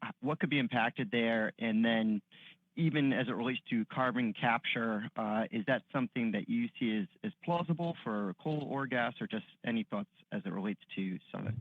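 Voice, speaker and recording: A man's voice: mid-range voice, moderate pace, dry recording. A man asking polite, tentatively phrased questions as a financial analyst on an earnings call. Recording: dry, dull phone-line audio.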